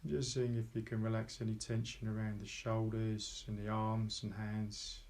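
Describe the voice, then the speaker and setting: Low, even-toned man guiding meditation. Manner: calm, unhurried, pausing between short phrases. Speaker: meditation guide. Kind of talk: guided meditation, slow soft instruction.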